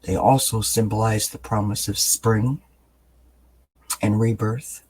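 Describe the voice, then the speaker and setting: Male tarot reader, low husky register, raspy from just waking; soft-spoken, unhurried, filler-heavy delivery. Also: rough recording. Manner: soft-spoken, relaxed, meandering with many fillers. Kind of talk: gentle daily tarot reading. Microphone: camera mic, above-view camera.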